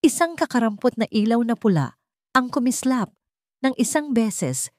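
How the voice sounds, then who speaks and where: A woman's voice: fairly high pitch, big pitch swings, moderate pace, a little mellow, a little hiss or hum. A woman with a Filipino accent, narrating a scripted love story in a dramatic storytelling style.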